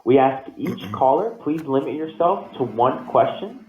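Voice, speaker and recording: A man's voice: medium pitch, expressive, unhurried, a little hiss or hum. A man working as a conference call operator, giving scripted instructions in a polite, formulaic way. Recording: tinny phone line, roomy echo.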